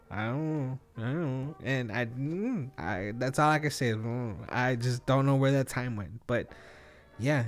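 A man in his thirties chatting casually and solo as a podcast host, thinking aloud in a rambling, slangy way. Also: mid-range voice. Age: 30s. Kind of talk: casual solo podcast chat, answering questions.

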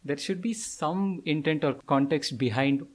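A man's voice: fairly high pitch, measured pace. A man co-hosting a conversational podcast who reasons his points out aloud with small hesitations. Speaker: podcast co-host.

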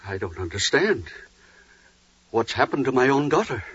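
A man's voice: mid-range voice, very animated, normal speed, fairly bright tone, husky, no room sound. An actor playing a blustering, bewildered father of a young maiden in 1940s radio drama style. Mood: bewildered, hurt. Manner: agitated, exclamatory, bewildered. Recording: dull, muffled 1940s radio recording.

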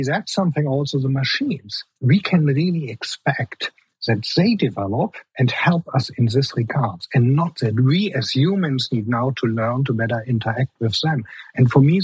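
A man's voice: mid-range voice, breathy edge, no echo, low-quality recording. A man with a strong German accent, a guest in a podcast interview, speaking earnestly and leaning on the word "basically" as he restarts phrases.

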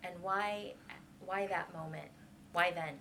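A woman with a gravelly, fairly low voice hosts an interview, asking open questions in an encouraging, gentle way. Faint noise hums behind her. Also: fairly even intonation, slow pace. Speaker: interviewer, alumni coordinator.

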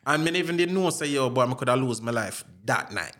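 A man with a Jamaican accent in casual chat, telling anecdotes in an animated way with patois phrasing. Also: medium pitch, quick pace.

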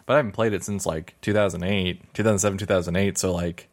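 Man, low voice, monotone, filler-heavy, relaxed podcast chat. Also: fast. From Missouri, USA. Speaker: podcast co-host.

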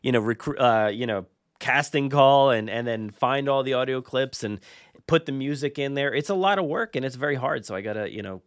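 A man's voice: medium pitch, a little fast, no room sound, studio quality. A man hosting a podcast, talking casually in a rambling, informal and enthusiastic way. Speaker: podcast host.

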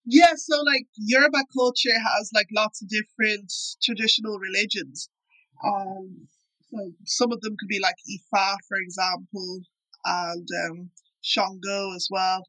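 A woman's voice: medium pitch, steady tone, a little slow, fairly bright tone, no room sound, poor audio. A young woman raised in Ireland, chatting as an interview guest and filling her talk with "like" and "I suppose". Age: young adult. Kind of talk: casual interview.

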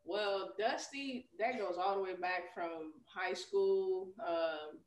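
Laughing woman guest from Chicago, echoey. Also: medium pitch. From Chicago, USA. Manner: laughs easily, rushes ahead in bursts when telling stories. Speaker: podcast guest. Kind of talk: casual interview chat. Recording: echoey room.

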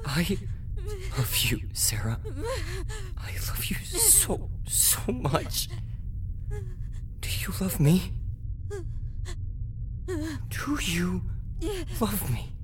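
A man's voice: sits high, huge pitch swings, drawn-out words, pauses often, noisy background, full character voice. A man playing an obsessive, volatile captor. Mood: remorseful. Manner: swings from tender murmurs to screaming rage. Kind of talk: horror monologue acting.

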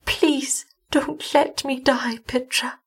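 A woman's voice: sits high, lively intonation, slow, vocal fry, fairly bright tone, voice pushed up, exaggerated voice. A woman voicing a frail, frightened young wife in a weak, pleading murmur for a gothic story.

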